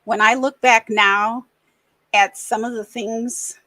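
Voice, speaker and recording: A middle-aged woman from the American Midwest, hosting a podcast and speaking candidly and reflectively, often pausing and restarting. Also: fairly high pitch, steady tone, pushed and bright, dry recording. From Racine, Wisconsin, USA. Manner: earnest, reflective, halting. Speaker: podcast host. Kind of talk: candid personal conversation.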